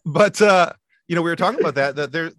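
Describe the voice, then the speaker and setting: A man's voice: fairly high pitch, fast, dry recording. Sarcastic man in his thirties, dry sound. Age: 30s. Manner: sarcastic, animated, with frequent restarts. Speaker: podcast guest. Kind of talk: casual chat.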